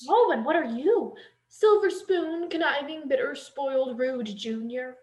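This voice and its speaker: A woman's voice: high-pitched, a little slow, darkish tone. A young woman on stage playing a protective, confrontational, doting middle-aged mother in a student play. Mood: indignant, scolding. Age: young adult. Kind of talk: stage acting in a student one-act play. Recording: echoey stage room.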